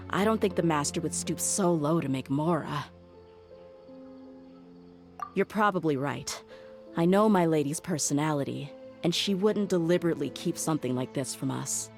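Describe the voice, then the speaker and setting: A tough young mercenary with a notably low voice. She reasons through clues aloud, steady, loyal and deliberate. Soft game music sits under her lines. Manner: thoughtful, reasons things out aloud. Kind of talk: game cutscene voice acting.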